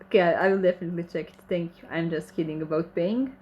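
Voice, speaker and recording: A woman's voice: on the low side, fairly even intonation, quick pace, dull audio, slight background noise. A woman with a Croatian accent, who speaks Croatian natively, hosts a casual livestream Q&A. She reads viewer comments aloud and answers them in friendly, slightly broken English. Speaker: channel host reading chat questions.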